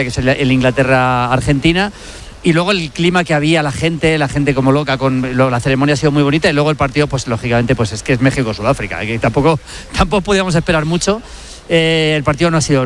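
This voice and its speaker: A male football pundit talks fast from inside a stadium, tripping over and restarting his words. His voice is slightly high, with faint noise behind it. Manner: eager, stumbling restarts.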